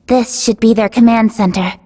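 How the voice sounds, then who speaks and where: Composed young teammate, fairly high voice, serious analytical delivery, echoing game audio. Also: female voice, steady tone, moderate pace, mellow, husky. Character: composed, serious young woman squad member. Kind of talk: anime-style video game voice acting.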